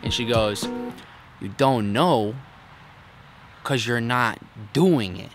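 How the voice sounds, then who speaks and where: A man's voice: mid-range voice, takes it slowly, faint music behind. A young man vlogging to camera in a city park, talking bluntly and swearing a lot, mocking himself as he goes. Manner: crude, blunt, self-mocking, heavy swearing. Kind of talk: casual vlog talk to camera.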